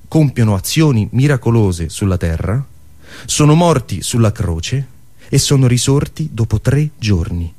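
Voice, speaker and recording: A man delivering a theatrical storytelling monologue, posing rhetorical questions and using deliberate dramatic pauses. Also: mid-range voice, a little mellow. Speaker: monologue performer.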